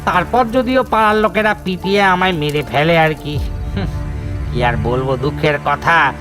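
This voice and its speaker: A man's voice: fairly high pitch, moderate pace, a bit bright, faint music behind, close to an everyday voice. A man voicing a male sweeper ghost who tells his story in a plaintive, grumbling tone. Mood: aggrieved. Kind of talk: cartoon voice acting.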